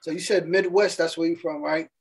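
A middle-aged man with a slightly high voice co-hosts a podcast over a webcam call. He tells stories in a relaxed, chatty way, often dropping "you know what I'm saying" and "man." His talk is loose and conversational. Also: fairly quick, husky. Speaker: podcast co-host. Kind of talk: casual interview chat. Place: indoor room with virtual backdrop. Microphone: webcam on a video call.

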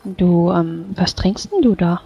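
Gentle, coaxing woman, slightly dull recording. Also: lowish voice, unhurried, gravelly. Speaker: tabletop game player. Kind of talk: in-character improvised dialogue. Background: faint music.

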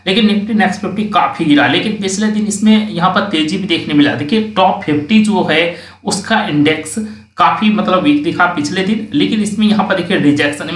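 A man's voice: high voice, a bit bright. A man narrating a market chart walkthrough, explaining each index and reading out price levels as he points at the screen. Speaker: stock market analyst. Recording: roomy, distant mic.

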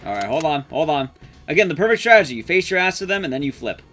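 A man's voice: on the high side, sing-song, fast, a bit bright. A young adult man giving casual, joking, sweary live commentary as he plays a game. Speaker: game streamer, speedrunner. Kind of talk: casual live stream commentary while playing.

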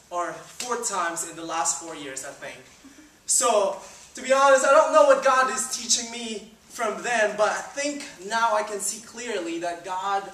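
A man's voice: high voice, bright, forceful tone, slight background noise. A man in his twenties from the Philippines giving a chatty, upbeat church talk, with many fillers. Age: 20s. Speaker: student ministry leader. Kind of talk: informal sermon talk. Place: church hall stage. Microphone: far camera, no mic seen. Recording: roomy hall sound from a distance.

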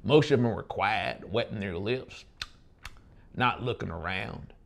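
A man's voice: fairly low pitch, measured pace, gravelly. A man in his thirties narrating an audiobook story in a dramatic style. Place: small dark indoor studio. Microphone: lapel microphone. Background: music.

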